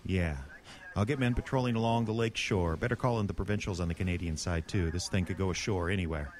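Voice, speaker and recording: A man's voice: low voice, quick pace, a little mellow, close to an everyday voice. A man acting a blunt, decisive small-town lawman leading a monster hunt in a horror audio drama, speaking in a dry, clipped way. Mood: brisk, commanding.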